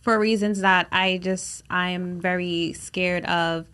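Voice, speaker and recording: A woman's voice: lowish voice, steady tone, slow pace, fairly bright tone, smooth. A woman in her twenties from the United States, talking casually as a podcast guest. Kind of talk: casual chat.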